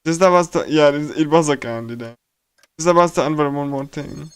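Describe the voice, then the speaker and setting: Higher-pitched man, halting livestream reader. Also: smooth, no room sound. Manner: halting, repeats and stumbles while reading. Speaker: streamer. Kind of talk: casual game livestream commentary and reading aloud. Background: game music.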